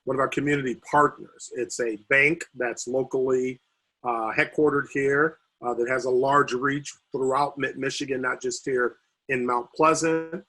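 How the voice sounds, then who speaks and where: A man on a video call whose voice is worn and husky, as if he is losing it. He talks haltingly, with frequent fillers and restarts. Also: mid-range voice, steady tone, bright, forceful tone. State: losing his voice. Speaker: university recreation administrator. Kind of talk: video call panel remarks.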